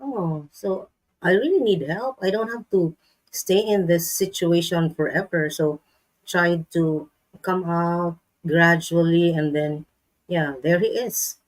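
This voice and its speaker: A woman with a Filipino accent, chatting as a guest in a live-stream interview, laughing often and pausing to search for words. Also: lowish voice, poor audio. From Philippines.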